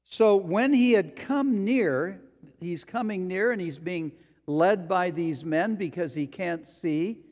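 A male pastor preaching a sermon in a slow, deliberate way, sometimes acting out quotes. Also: high-pitched, highs cut off. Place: church sanctuary. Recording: long hall reverb.

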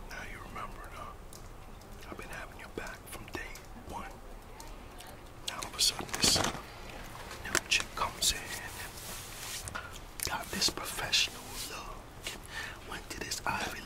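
A middle-aged American man in a whispered ASMR roleplay, confiding like a disgruntled coworker in colloquial speech. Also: distant mic. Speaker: ASMR roleplayer playing a gossiping office employee.

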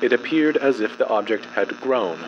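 A man narrating a horror fiction file as a dramatic reading, in a formal, clinical, measured delivery. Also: mid-range voice, highs cut off, background noise.

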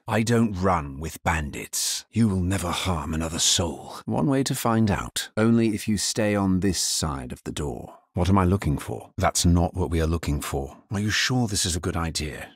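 Deep, husky, grim necromancer. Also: male voice, no echo, studio quality. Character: grim, dutiful male necromancer adventurer. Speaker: video game voice actor. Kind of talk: video game character voice lines.

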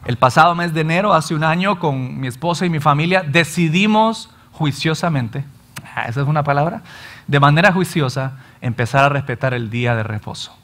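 A Salvadoran pastor in his thirties preaching an animated sermon from a church stage, often acting out his listeners' complaints. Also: fairly high pitch, brisk. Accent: Salvadoran Spanish. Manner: animated, gestures widely, acts out listeners' complaints. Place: church stage in front of a large screen.

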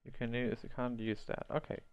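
A man's voice: mid-range voice, flat delivery, fairly quick, clean tone, highs cut off. A man who is not a native English speaker gives rambling, think-aloud commentary while playing an online role-playing game, chuckling at his own mistakes. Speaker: gameplay video host.

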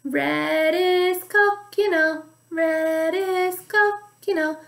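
High, slow-talking woman music teacher. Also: fairly bright tone. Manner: slow, clear teacher talk, sings call-and-repeat phrases. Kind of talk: recorded online lesson for young children. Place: dining room at home, indoors. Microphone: webcam or laptop at arm's length.

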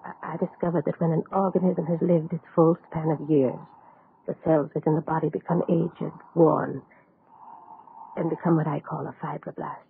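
Gravelly, anguished woman doctor, old radio. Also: sits low, flat delivery. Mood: solemn, confiding. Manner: halting, solemn, dramatic. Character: anguished woman doctor, a scientist afraid of her own experiment. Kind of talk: 1930s radio horror acting. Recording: scratchy, phone-like 1930s radio recording.

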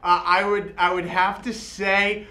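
A man's voice: high-pitched, little pitch movement, sharp tone, heavily acted. A man playing a shy, awkward bachelor who hesitates and drags out his answers on a theater stage. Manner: hesitant, drawn-out. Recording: echoey stage with faint noise.

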